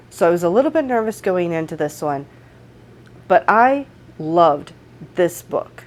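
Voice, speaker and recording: Woman in her thirties, slightly congested, low-pitched, friendly book chat, desk mic at home. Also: darkish tone. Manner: friendly, chatty, upbeat. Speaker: book vlogger. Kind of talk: casual book wrap-up chat. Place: home room. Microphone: desk microphone.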